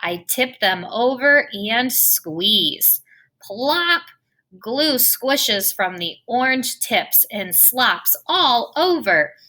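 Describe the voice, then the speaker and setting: A woman reading a children's picture book aloud, expressive and playful with the sound words. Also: fairly high pitch, extremely slow. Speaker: storybook reader.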